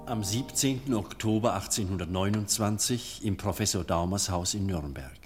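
A male actor is the announcer of a radio play. His fairly low voice rings in a long reverb over music. The delivery is formal narration. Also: normal speed, natural voice. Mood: solemn.

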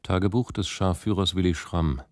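A man's voice: deep voice, moderate pace, no echo, natural voice. A man announcing characters and documents in a radio drama in terse scripted phrases.

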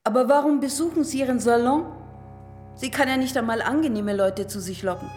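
An actress playing a haughty, dismissive duchess in a radio drama, brisk and disdainful. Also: female voice, a little high, a little mellow, faint music behind, natural voice.

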